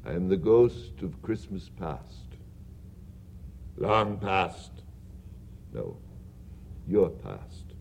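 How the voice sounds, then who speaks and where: A man voicing a grave, hushed ghostly spirit. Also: very animated, gravelly, slight background noise, heavily acted. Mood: solemn. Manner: grave, slow, low and hushed. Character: solemn otherworldly male ghost spirit.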